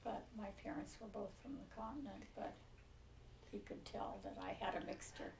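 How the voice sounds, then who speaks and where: An older American woman in her seventies recalls the past in an interview. Her voice has a slight gravelly creak, and she pauses as she goes. She sounds a little away from the mic in a roomy living room. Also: medium pitch, a little mellow. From Pinole, California. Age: 70s. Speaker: oral history interviewee. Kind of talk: reminiscing in a relaxed interview. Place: living room, on a leather couch. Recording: roomy, distant mic with faint noise.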